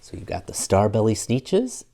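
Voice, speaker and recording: A middle-aged man reads a picture book aloud for young children. His pitch moves around a lot and has some fry in it. He is expressive and stops to explain the story in simple terms. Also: moderate pace, background noise. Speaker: storytime reader.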